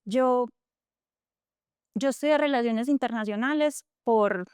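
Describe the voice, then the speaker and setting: A woman's voice: on the high side, slow, a little mellow, clear, resonant tone, no echo, clean studio sound. A woman in her thirties from Colombia's coffee region, speaking with its accent as an interview guest, earnest and reflective with many fillers and restarts. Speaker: guest, tech entrepreneur.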